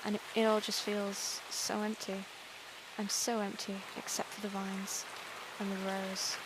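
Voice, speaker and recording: A woman voicing a depressed young princess while reading a visual novel aloud, moving from quiet, tired lines to loud outbursts. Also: mid-range voice, monotone, measured pace, background noise, lightly acted. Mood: weary, hollow. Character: depressed young princess, hollow and self-loathing. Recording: music and rain behind the voice.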